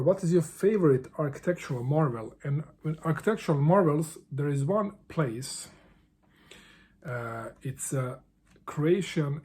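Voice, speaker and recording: Mellow, halting man. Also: mid-range voice, husky. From Bosnia. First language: Bosnian. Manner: halting, with frequent restarts and repeated words. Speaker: book vlogger. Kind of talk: casual answers to a book tag.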